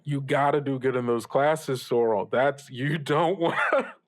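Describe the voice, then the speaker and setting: Radio mentor, male, acted: conversational, rambling, kindly but evasive, average pitch, very little room sound. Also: no echo, lightly acted. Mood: encouraging. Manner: halting, rambling, stammering, gently advising. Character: reassuring older male radio mentor, upbeat, evasive about troubles. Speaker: student radio show host. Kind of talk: improvised actual-play audio drama, conversational.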